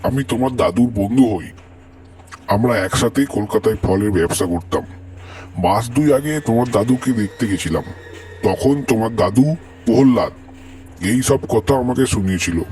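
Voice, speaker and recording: A man voicing a mysterious old stranger wrapped in a shawl, speaking in a grave, muffled, slow manner in a horror audio story. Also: on the low side, warm tone, soft background music, natural voice. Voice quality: muffled, hoarse-edged.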